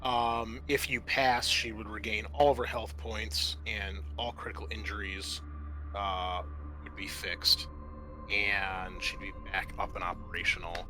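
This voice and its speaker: A man narrating as game master in a tabletop roleplaying game, halting as he thinks aloud through his rulings. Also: lowish voice, bright, forceful tone.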